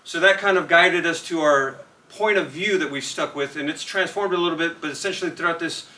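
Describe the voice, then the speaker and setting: A man from California presents a student project to a live audience, talking straight to them. His voice is bright and a little high. He stands off the mic, with faint noise in the room. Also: a little fast, far from the mic.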